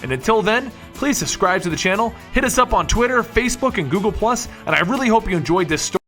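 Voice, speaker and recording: A man's voice: high voice, animated, fast talker, fairly bright tone, faint music behind. A man narrating a comic book story with dramatic, emphatic delivery and rhetorical questions. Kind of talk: animated scripted storytelling narration.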